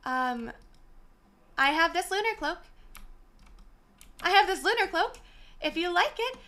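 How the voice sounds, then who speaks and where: A young woman in her twenties chatting casually on a gaming live stream, her voice slightly hoarse and worn, talking from a small room into a mic set far from her with the gain turned up. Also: extremely high pitch, animated, measured pace, bright, forceful tone. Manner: chatty, apologetic, greets viewers by name. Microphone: desk mic set far from her, gain turned up.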